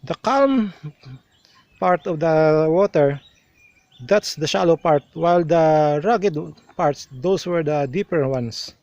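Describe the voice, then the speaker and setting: Slow-talking Filipino man outdoors. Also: on the high side, expressive, darkish tone, dry recording, muffled recording. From Philippines. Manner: explanatory, unhurried, with restarts. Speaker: travel vlogger. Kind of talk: outdoor travel vlog narration. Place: outdoors on a hilltop shrine.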